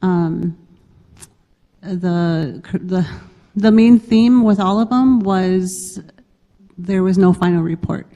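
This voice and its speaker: A woman's voice: lowish voice, takes it slowly, warm tone. Woman speaking on a conference panel. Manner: conversational, hesitant with fillers. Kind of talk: panel discussion. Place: conference hall. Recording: reverberant conference hall.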